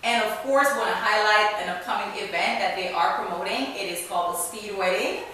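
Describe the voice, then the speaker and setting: A woman's voice: mid-range voice, fairly quick, pushed and bright. Echoey, distant-sounding woman hosting a talk show. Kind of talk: hosted talk show interview. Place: indoors, echoey room. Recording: echoey room, distant mic, hiss.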